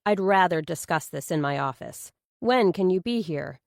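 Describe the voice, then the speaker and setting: A woman's voice: fairly low pitch, expressive, moderate pace, vocal fry, no echo, studio quality. Woman acting an insistent, guarded female lawyer. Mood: shaken, guarded. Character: evasive, insistent, businesslike female lawyer on the phone.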